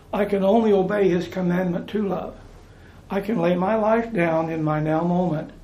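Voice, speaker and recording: A man's voice: sits high, gravelly, darkish tone, echoey room, low-quality recording. An American man preaching informally, talking in a conversational way, repeating phrases and restarting his sentences. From United States.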